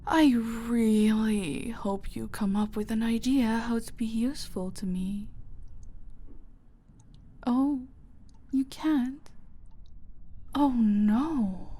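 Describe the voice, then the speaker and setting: A woman voicing a dominant, playfully sadistic mafia boss in an audio roleplay, taunting with mock sweetness and slipping into breathy whispers. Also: on the high side, very slow, pauses often, dark tone. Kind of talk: roleplay audio drama, slow intimate villain monologue.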